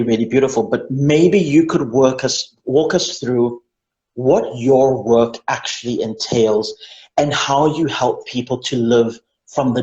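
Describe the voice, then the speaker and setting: A man's voice: mid-range voice, a little mellow. Guy hosting a video call interview, lots of ums, muffled roomy sound. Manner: chatty, effusive, many fillers and restarts. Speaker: interview host. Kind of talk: online interview. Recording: dull, echoey video call.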